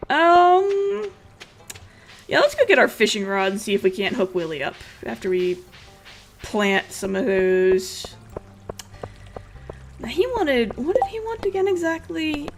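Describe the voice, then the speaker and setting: Female gaming host, lively swooping delivery, dry sarcasm, casual commentary over music. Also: on the high side, slow pace, creaky, pauses often. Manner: sarcastic, blunt, salty-mouthed. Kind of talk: casual let's play commentary.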